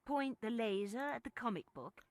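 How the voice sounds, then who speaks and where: A woman's voice: fairly high pitch, animated, moderate pace, dry recording. A woman playing a chatty, opinionated, quirky small-town character in a video game, playful and welcoming. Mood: helpful, chatty.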